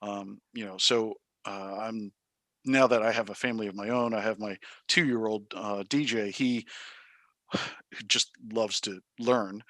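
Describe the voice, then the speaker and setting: Soft-spoken teacher with a dry sound. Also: male voice, fairly low pitch, fairly even intonation, a little slow, no echo. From Taiwan. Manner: thoughtful, many ums and uhs, restarts. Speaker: high school math teacher, interview guest. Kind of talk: casual interview answers.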